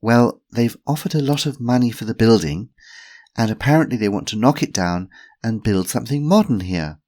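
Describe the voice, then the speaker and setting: A man doing a shy, halting young woman. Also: mid-range voice, lively intonation, darkish tone, pitched above the performer's own voice, natural voice. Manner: hesitant, halting. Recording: roomy echo.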